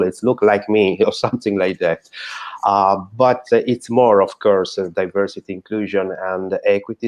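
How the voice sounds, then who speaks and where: A man with an Italian accent and a fairly low voice, speaking as a roundtable guest. He pauses often and fills gaps with "uh", picking his English words with care. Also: darkish tone. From Italy. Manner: hesitant, many fillers, non-native phrasing. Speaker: filmmaker guest. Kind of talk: roundtable discussion.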